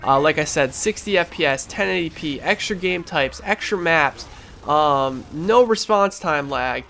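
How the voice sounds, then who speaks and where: Young guy with a stuffed-up nose, gravelly and kinda high voice, rambling excitedly over gameplay. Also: a little slow, slight background noise. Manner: rambling, enthusiastic, lots of fillers and restarts. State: stuffy, losing his voice. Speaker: gaming channel host. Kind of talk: casual commentary over gameplay.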